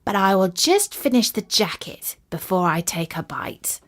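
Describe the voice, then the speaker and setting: A woman's voice: mid-range voice, expressive, unhurried. A woman voicing a cheerful, boastful little male tailor from a storybook, with theatrical, self-important delivery. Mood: pleased.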